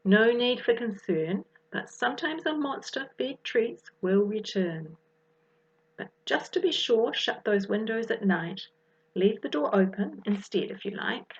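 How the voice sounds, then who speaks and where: A woman voicing a confident, bossy young girl detective in a children's story, brisk and take-charge. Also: on the high side, expressive, lo-fi sound. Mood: reassuring.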